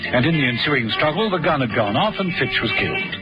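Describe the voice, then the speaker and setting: A man's voice: fairly high pitch, sing-song, fairly quick, over loud music. A man reading scripted dramatic narration for a thriller story.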